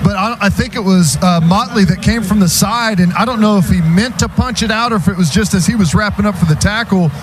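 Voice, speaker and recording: A man's voice: sits high, brisk, noisy background. A man with an American accent giving conversational, explanatory football analysis on a live radio broadcast. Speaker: color commentator. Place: stadium radio booth.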